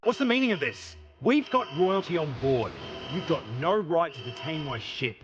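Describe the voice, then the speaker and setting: A man's voice: fairly high pitch, expressive, normal speed, dull audio, background noise, natural voice. A man voicing a brash, sarcastic starship captain in scripted game dialogue, crude and exasperated. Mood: agitated, urgent. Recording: background music.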